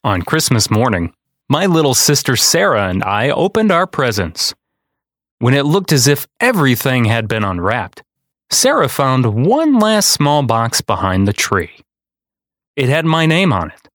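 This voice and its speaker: A man narrates a children's picture book with deliberate, theatrical care. His pitch moves around in a lively way, and he takes his time with each phrase. The sound is close and dry. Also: no echo. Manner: deliberate, dramatic storytelling delivery. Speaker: storyteller and podcast host.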